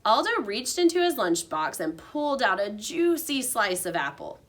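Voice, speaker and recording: A woman reading a children's storybook aloud like a teacher, with expressive narration. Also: a little high, moderate pace.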